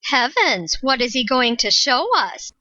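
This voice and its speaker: A woman acts a petulant, imperious young lady in a stage farce. Her voice is high and piercing, curt in delivery, on a slightly dull, dry recording. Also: lively intonation, measured pace, pushed and bright, dry recording, exaggerated voice. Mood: petulant, impatient. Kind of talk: comic stage-play acting.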